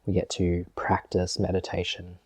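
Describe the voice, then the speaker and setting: Low mellow guy doing a guided meditation, gentle, lots of pauses, really dry close sound. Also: monotone, dry recording. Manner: gentle, measured, with long pauses. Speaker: meditation teacher.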